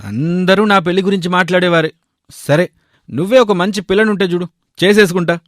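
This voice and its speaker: A man's voice: sits high, fairly even intonation, a little fast, dry recording, studio quality, natural voice. A man voicing a fussy, food-loving bachelor shopkeeper in a story, bossy and given to complaining. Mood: chatty.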